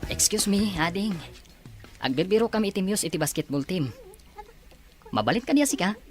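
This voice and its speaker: A woman playing an earnest older male schoolmate in an audio drama, coaxing and flattering as a persuader. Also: mid-range voice, moderate pace, faint music behind, close to an everyday voice. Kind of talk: Ilocano audio drama acting.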